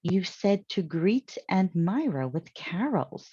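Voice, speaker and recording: A woman's voice: lowish voice, very animated, slow, mellow, breathy edge, no echo, muffled recording, heavily acted. A woman voicing a cheerful, naive, literal-minded housekeeper from a children's storybook, earnest and exclamatory. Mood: excited.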